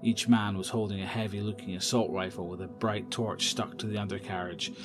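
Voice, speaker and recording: Low-voiced male horror narrator over music. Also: level tone. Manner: measured, descriptive storytelling. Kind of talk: scripted audio drama horror narration.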